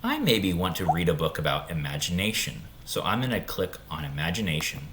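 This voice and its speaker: Deep-voiced man giving an animated tutorial. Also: a little fast, a little hiss or hum. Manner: informal, instructional, addressing young students. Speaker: school tech tutorial presenter. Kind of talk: step-by-step screen tutorial.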